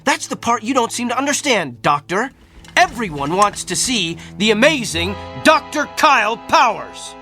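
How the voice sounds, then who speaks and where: A man's voice: high-pitched, very animated, normal speed, bright, forceful tone, soft background music, heavily acted. An actor playing an arrogant, fame-hungry male celebrity doctor in a radio drama, with a self-important manner. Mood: cocky, scornful. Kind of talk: theatrical radio drama acting.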